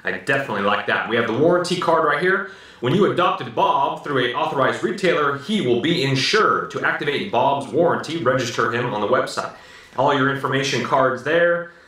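A man in his thirties, slightly hoarse, casually reviewing and unboxing a gadget at home in an upbeat manner. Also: mid-range voice, lively intonation, a little fast, sharp tone. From United States. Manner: upbeat, repeats "very, very cool, guys". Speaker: product reviewer. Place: living room at home. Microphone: camera mic from a short distance. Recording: echoey room, slightly distant mic.

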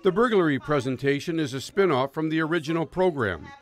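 A man narrating a local news segment in an even, measured reporting style. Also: on the high side, a crowd in the background.

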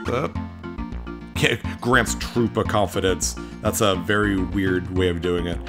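A man's voice: deep voice, slow pace. A man giving casual, chatty playthrough commentary on a video game in a playful way. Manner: chatty, playful, reads game text aloud in exaggerated tones. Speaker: gaming video host. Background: video game music.

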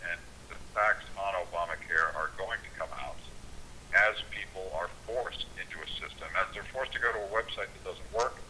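A man's voice: sits low, normal speed, pushed and bright, slight background noise, rough recording. A man speaking on a conference call, earnest and often repeating words as he starts a thought. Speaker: conference call speaker, political activist leader.

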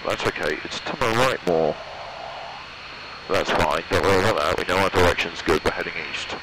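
A man's voice: deep voice, brisk. A young British man on a headset, muttering short flight checks to himself as a student pilot. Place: small open-sided microlight cockpit in flight. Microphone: aviation headset. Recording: loud aircraft engine noise, headset audio.

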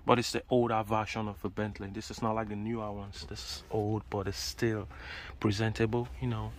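Excited man vlogging on a phone outdoors. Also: on the low side, brisk. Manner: excited, chatty, exclaiming over cars. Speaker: vlogger touring a car auction lot. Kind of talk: casual selfie vlog. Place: outdoor car auction lot and inside parked cars. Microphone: phone camera at arm's length. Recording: outdoor phone audio with background noise.

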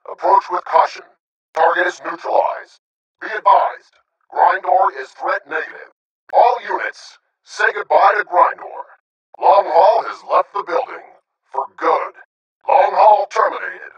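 Video game robot soldier, combative, commanding, clipped combat callouts, sharp forceful voice, dull distant echoey sound. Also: male voice, high voice. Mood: triumphant. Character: male robot sniper soldier, disciplined, confident, combative. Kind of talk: military radio-style combat callouts.

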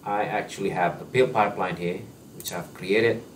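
A man in his thirties giving a screen-share software walkthrough as a tutorial presenter, explaining casually with frequent fillers and restarts from a small room at his desk. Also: sits low, steady tone, moderate pace, recorded from a distance, a little hiss or hum.

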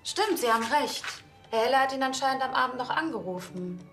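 An actress playing a businesslike funeral home clerk, polite and matter-of-fact, in a TV crime comedy. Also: female voice, high voice, animated, a little fast, fairly bright tone, faint music behind.

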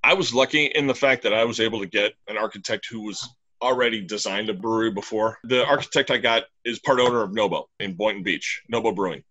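A middle-aged man speaks over a webcam call from a small room, sounding bright and upbeat. He talks plainly and in detail as an interview guest. His delivery is easygoing and conversational. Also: lowish voice, poor audio. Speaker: brewery owner, guest. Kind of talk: casual video-call interview. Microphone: webcam on a video call.

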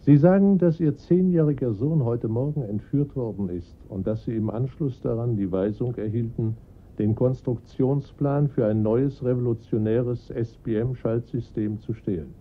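Composed, formal police inspector. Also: male voice, medium pitch, slightly rough. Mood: measured, probing. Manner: formal, measured questioning. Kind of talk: German radio crime drama acting. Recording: muffled lo-fi radio play recording.

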